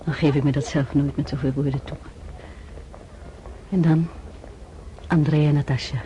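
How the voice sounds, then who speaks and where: An actress as a devout young noblewoman in a radio drama, with a low, soft-edged voice. She speaks formally and with restrained, anxious feeling, over faint hiss. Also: steady tone, normal speed, close to an everyday voice, low-quality recording. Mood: reflective, tender. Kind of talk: Dutch radio play acting and first-person narration.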